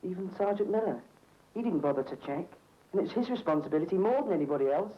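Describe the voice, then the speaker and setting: An actor playing a tired, frank soldier arguing about blame in a 1970s army training film. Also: male voice, high-pitched, lively intonation, fast, a little hiss or hum. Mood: reflective, reproachful. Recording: echoey, muffled old film soundtrack.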